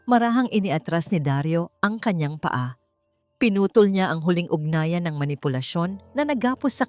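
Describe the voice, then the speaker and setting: Low-pitched woman narrating drama over music. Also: a little mellow, no room sound. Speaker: storyteller, narrator. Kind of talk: dramatic scripted story narration. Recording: dry voice over background music.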